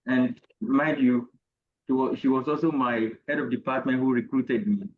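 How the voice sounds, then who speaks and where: A middle-aged Nigerian man, an interview guest, telling a personal story in a deliberate, halting academic way. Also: mid-range voice, fairly even intonation, reverberant, narrow, tinny audio, a little hiss or hum. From Nigeria, Ogun State. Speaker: interview guest, university professor.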